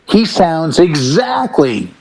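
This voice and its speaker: A man's voice: fairly high pitch, very animated, slow, darkish tone, rough recording. A middle-aged man chatting casually and emphatically about music, telling stories and giving firm opinions as a panelist. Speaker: talk show panelist.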